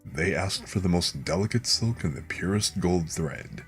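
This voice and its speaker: A man hosting a bedtime story stream, speaking in a relaxed ASMR storytelling style. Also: deep voice, normal speed, faint music behind.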